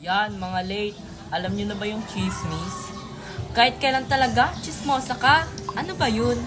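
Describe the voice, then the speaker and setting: A woman's voice: medium pitch, fairly even intonation, measured pace, loud music behind the voice, lightly acted. A woman acting a gossipy, boy-crazy teenage classmate with a Filipino accent in a comedic radio drama, brash and teasing in slangy banter.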